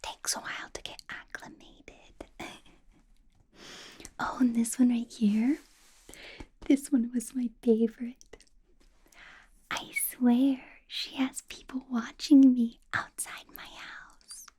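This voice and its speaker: A woman voicing a doting, possessive vampire boss in a soft, breathy ASMR whisper, intimate and coaxing with quiet menace. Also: on the high side, flat delivery, takes it slowly, long pauses. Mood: amused, quietly menacing.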